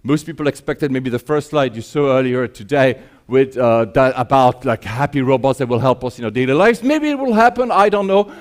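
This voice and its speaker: Middle-aged male conference speaker from Switzerland, mid-pitched, conversational and wry, with self-deprecating asides. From Geneva, Switzerland. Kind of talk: informal keynote presentation on stage. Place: conference stage, addressing an audience.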